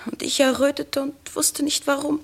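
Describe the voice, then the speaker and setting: A distraught young goldsmith's daughter with a very high voice, devoted to her betrothed. Her delivery is fervent and theatrical, in the manner of a 1950s radio play. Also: little pitch movement, normal speed. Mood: shy, confiding. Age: young adult. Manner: fervent, theatrical, breathless dramatic delivery with hushed passages. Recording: 1950s radio drama studio recording.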